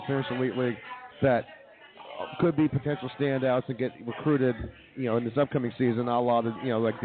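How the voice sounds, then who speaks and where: A man co-hosting a casual sports talk show, giving confident opinions and often restarting his sentences. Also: mid-range voice. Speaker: co-host. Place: brewery, live show. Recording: tinny phone-like sound.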